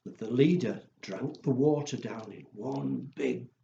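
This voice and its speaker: A man's voice: mid-range voice, a little slow, vocal fry, dark tone. A man telling stories and rhymes to young children in a playful, simply phrased way. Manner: playful, simple phrasing with acted woofs and exclamations. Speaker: children's storyteller. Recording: echoey room, dull sound, faint noise.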